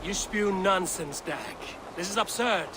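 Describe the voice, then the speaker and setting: An actor playing a stern, burdened Viking clan leader in a video game, terse and commanding. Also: male voice, high-pitched, unhurried, very noisy. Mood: stern warning. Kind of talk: dramatic video game acting.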